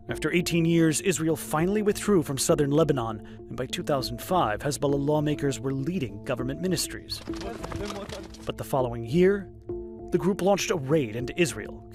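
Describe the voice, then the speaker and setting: Higher-pitched male news narrator over music. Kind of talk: scripted news explainer narration.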